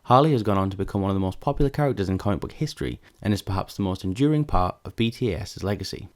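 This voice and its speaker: Fast-talking deep-voiced man narrating. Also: animated. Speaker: video essay narrator. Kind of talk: scripted narration.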